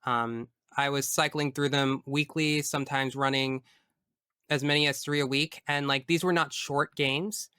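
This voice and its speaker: A man speaking as a guest in a casual interview, enthusiastic and emphatic in an expressive way. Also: medium pitch, measured pace, smooth, dry recording, clean studio sound. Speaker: tabletop game master. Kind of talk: casual interview chat about personal experience.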